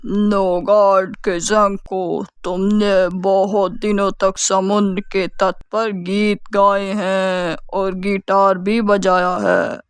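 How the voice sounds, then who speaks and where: Storybook sea king read by a woman: huge, proud, fatherly, formal, unhurried delivery, dry sound. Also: medium pitch, smooth, no room sound.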